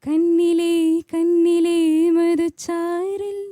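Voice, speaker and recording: Very mellow, high-pitched young woman singing. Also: little pitch movement, drawn-out words, no room sound, clean studio sound, soft background music. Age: young adult. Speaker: singing contestant. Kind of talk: singing romantic film song.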